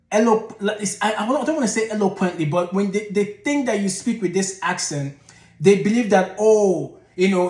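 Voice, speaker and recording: A man's voice: high voice, reverberant. A man giving blunt, exasperated commentary as a channel host, often digressing. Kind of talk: opinionated commentary.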